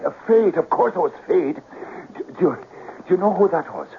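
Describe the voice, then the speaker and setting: An actor playing a theatrical, insistent schemer of a friend, coaxing and portentous, in an old-time radio drama. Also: male voice, sits high, expressive, brisk. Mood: agitated, incredulous. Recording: tinny narrow-band radio recording, faint noise.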